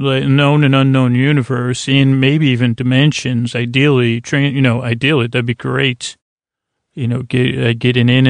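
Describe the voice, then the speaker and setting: Creaky, meandering male bedtime podcast host. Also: medium pitch, no room sound. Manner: mumbling, stumbling, digressive, trailing off and restarting. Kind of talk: rambling, meandering bedtime monologue.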